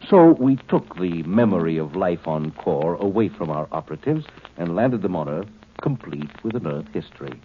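An actor playing a calm, condescending male alien captor in a 1950s radio drama, speaking in a measured, lecturing way. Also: low-pitched. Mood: cold. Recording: tinny old broadcast recording, faint hiss.

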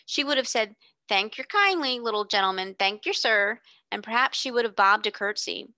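A woman's voice: fairly high pitch, animated, normal speed, sharp tone, dry recording. A woman voicing a sensible, knowing young girl who speaks decidedly in a storybook reading. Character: sensible older sister, a rich girl.

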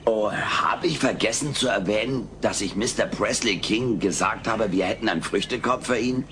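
An actor playing a brash, scheming furry alien houseguest in a sitcom audio drama, glib and theatrical. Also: male voice, on the low side, normal speed, natural voice. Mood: mock-innocent. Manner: glib, theatrical, mock-innocent. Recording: noisy old cassette audio drama.

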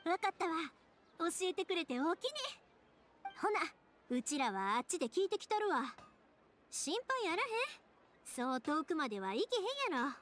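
An actress playing a spirited, kind-hearted young woman with a Kansai accent and a brisk, informal manner in an anime game. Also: high-pitched, sing-song, a bit bright, a little hiss or hum. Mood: upbeat. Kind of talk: Japanese anime game voice acting.

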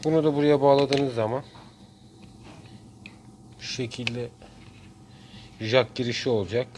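A man giving a casual hands-on tutorial in short phrases with frequent pauses. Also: medium pitch, fairly even intonation, a little mellow. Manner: halting, pausing between short phrases. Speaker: DIY video maker. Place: indoors at a workbench. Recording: clear background noise, room ambience.

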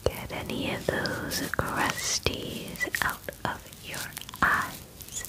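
Woman in her twenties, ASMR whisper, slow pace, gentle and soothing, breathy, close-miked. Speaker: ASMR roleplayer playing a werewolf spa groomer. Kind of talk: ASMR personal-attention roleplay, whispered. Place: small indoor room. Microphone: close microphone, near the camera.

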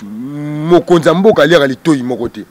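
A man's voice: a little high, expressive, normal speed, a little mellow. A man in his thirties with a Kinshasa Congolese accent, speaking combatively and emphatically in an interview, repeating words, into a handheld microphone outdoors. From Democratic Republic of the Congo. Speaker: interview guest, music group spokesman. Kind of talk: heated street interview in Lingala mixed with French. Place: outdoors on a porch.

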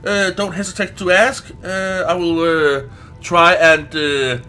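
A man who is not a native English speaker gives casual gameplay commentary, haltingly searching for words and swearing now and then. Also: high-pitched, flat delivery, pushed and bright. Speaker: let's play host.

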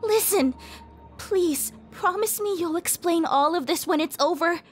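A woman's voice: very high voice, unhurried. A woman voicing a sweet, naive, self-doubting anime schoolgirl in a parody animation. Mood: anxious, pleading. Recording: long reverb, music behind.

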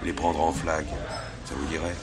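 An actor playing a sly, slangy petty crook who wheedles and bargains as a police informant in a French radio drama. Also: male voice, very deep voice, level tone, normal speed, over a noisy crowd. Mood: sly, bargaining.

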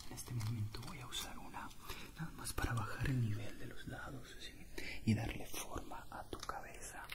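A man in his twenties doing a gentle, soothing ASMR barber roleplay in an unhurried, breathy whisper, close to the mic. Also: medium pitch. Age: 20s. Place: indoor barbershop.

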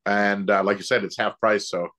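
A middle-aged man co-hosting a casual interview over a video call, talking in a halting way with repeated words. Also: on the low side, level tone, a bit bright. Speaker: co-host, actor. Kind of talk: casual chat. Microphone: computer video call.

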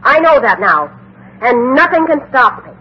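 An actress playing a fervent, easily frightened medium, insistent and repetitive, in 1950s radio drama style. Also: female voice, high voice, sing-song, moderate pace, crowd noise. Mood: fervent, resolute. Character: frightened, fervent phony medium convinced by her brother's ghost. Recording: dull vintage 1950s radio recording.

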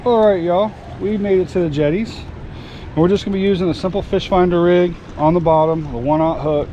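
A man's voice: high voice, fairly even intonation, brisk, mellow, highs cut off. A man, hosting a fishing vlog from his boat and chatting casually to viewers. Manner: folksy and upbeat, addressing viewers as y'all. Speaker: fishing channel host and charter captain. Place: open boat on the water outdoors. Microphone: action camera on the boat. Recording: outdoor boat recording with engine and water noise.

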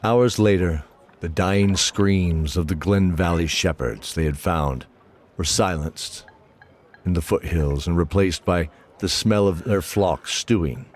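Low-voiced male fantasy narrator. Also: slow, a little hiss or hum. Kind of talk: scripted narration of a fantasy audio drama. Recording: voice over prominent music.

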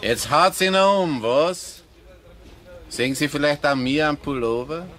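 A man's voice: on the high side, unhurried, a bit bright. An actor playing a cheeky, defiant salesman suspect with a Viennese accent in a radio crime play, mocking and insolent. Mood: indignant.

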